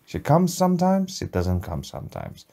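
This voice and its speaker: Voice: medium pitch, wildly swooping pitch, normal speed, gravelly, warm tone. An adult telling an improvised bedtime story to a child, patiently and in simple phrases.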